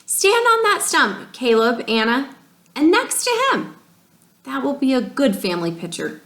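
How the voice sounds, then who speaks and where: A woman's voice: sits high, big pitch swings, measured pace, a bit bright, voice pushed up, heavily acted. A woman reading the part of a wistful male country photographer in a storybook. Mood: directing. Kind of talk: storybook read-aloud. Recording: echoey room.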